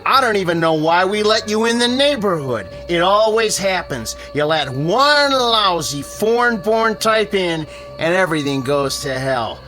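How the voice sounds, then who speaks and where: Belligerent, high-pitched suburban hothead. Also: male voice, very animated, measured pace, sharp tone, soft background music, full character voice. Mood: menacing. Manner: belligerent, urgent. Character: hot-headed bigoted suburban man turned panicky mob leader. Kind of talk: radio drama acting.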